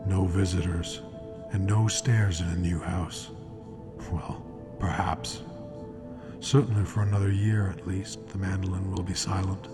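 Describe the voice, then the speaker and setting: An actor as an ominous, knowing true-crime storyteller, narrating a radio mystery with wry, deliberate phrasing. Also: male voice, deep voice, steady tone. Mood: wry foreboding. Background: music.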